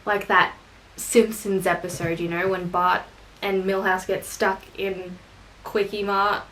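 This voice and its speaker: A woman's voice: mid-range voice, little pitch movement, unhurried, fairly bright tone, a little hiss or hum, low-quality recording. A young woman with an Australian accent, chatting casually and rambling through questions for her vlog, with self-deprecating jokes. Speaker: vlogger. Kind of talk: casual tag video answering questions.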